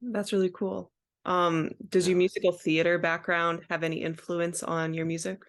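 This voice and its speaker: Gravelly interviewer with an Ohio accent. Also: medium pitch, little pitch movement, moderate pace. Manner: friendly, short reactions and questions. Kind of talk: casual interview questions.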